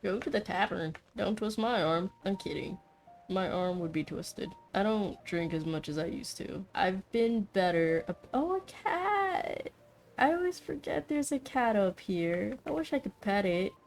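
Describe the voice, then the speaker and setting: A woman's voice: mid-range voice, big pitch swings, unhurried, soft background music. A woman giving casual gameplay commentary as a streamer, with an offhand, joking, wry manner. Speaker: video game streamer.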